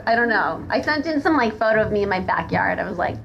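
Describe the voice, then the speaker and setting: A woman's voice: medium pitch, animated, quick pace, creaky, lo-fi sound, over music. A woman guest on a live stage telling comic stories in an informal, sweary, casual way. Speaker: podcast guest, former restaurant hostess. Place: stage in front of an audience.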